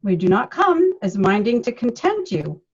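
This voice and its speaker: A woman playing an earnest, bumbling amateur actor, haltingly reciting a Shakespearean prologue over a dull video call. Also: medium pitch, big pitch swings, slightly rough. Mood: earnest, stilted. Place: remote video call, reading from home.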